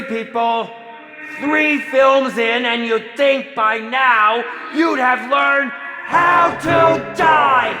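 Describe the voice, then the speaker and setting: An actor playing a menacing, sadistic horror film director in a video game, theatrical and drawn-out in delivery, in an echoey space. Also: male voice, very high-pitched, exaggerated voice. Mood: exasperated, furious. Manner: flamboyant, drawn-out, swinging from hushed to shrieking. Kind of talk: theatrical game villain monologue and taunts. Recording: echoey reverberant hall with background noise.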